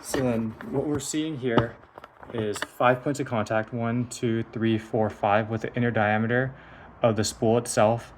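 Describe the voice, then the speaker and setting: A man's voice: medium pitch, steady tone, a little slow, slight background noise. A man giving a hands-on technical demonstration of a device in a methodical, explanatory manner. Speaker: engineer presenting a prototype device.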